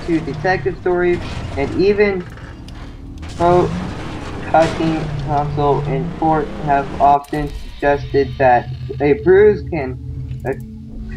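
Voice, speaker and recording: A young man in a gaming video, switching between casual chat and halting reading aloud, stumbling over words. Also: a little high, a little mellow, poor audio. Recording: music playing over the voice.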